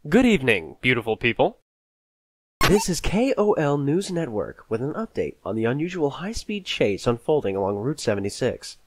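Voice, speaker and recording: A man's voice: mid-range voice, very animated, normal speed, no echo. A man playing a jaded, irreverent cartoon TV news anchor in a game, with formal announcer delivery. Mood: polished, formal. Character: jaded, foul-mouthed male TV news anchor. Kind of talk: mock news broadcast narration. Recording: noticeable background noise.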